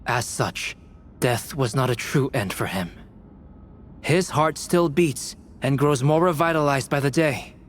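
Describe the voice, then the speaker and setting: A composed, intellectual male alchemist from a game, voiced by an actor. His mid-pitched speech is measured and formal with cool condescension. Faint noise sits behind it. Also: natural voice. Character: calm, cerebral male alchemist exposing an impostor. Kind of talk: dramatic game cutscene dialogue.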